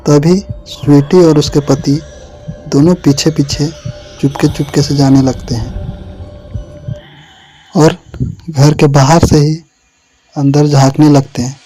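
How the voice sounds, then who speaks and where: Slow man narrating horror, higher-pitched voice. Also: fairly even intonation, dark tone, faint music behind, poor audio. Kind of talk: scripted horror story narration.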